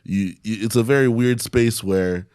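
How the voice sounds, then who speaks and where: A man's voice: lowish voice, moderate pace, a little mellow, clear, resonant tone, dry recording, clean studio sound. A young man, talking casually as an interview guest and often chuckling as he speaks. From Canada. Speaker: stand-up comedian, interview guest.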